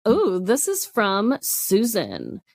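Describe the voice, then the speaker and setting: A woman's voice: mid-range voice, wildly swooping pitch, takes it slowly, gravelly, a little mellow, no room sound, clean studio sound. A woman co-hosting a comedic podcast, chatting casually in a giggly, playfully teasing way. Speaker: podcast co-host.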